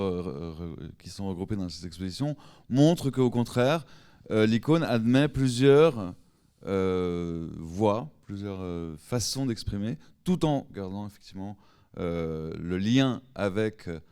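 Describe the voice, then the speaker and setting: A man speaks as a guest on a panel in a low, smooth voice. He explains his view in a measured way, hesitating often between words. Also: lively intonation, darkish tone.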